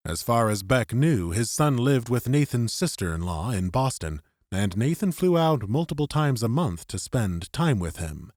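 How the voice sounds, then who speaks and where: A man's voice: medium pitch, sing-song, normal speed, few pauses, a little mellow, dry recording, clean studio sound. A man reading dramatic scripted narration as an audiobook narrator. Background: music.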